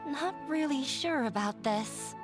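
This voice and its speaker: High-voiced, timid, hesitant girl. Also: lively intonation, slow, music in the background. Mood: unsure. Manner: hesitant, nervous, stammering. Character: shy, anxious young girl lacking confidence. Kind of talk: video game voice acting.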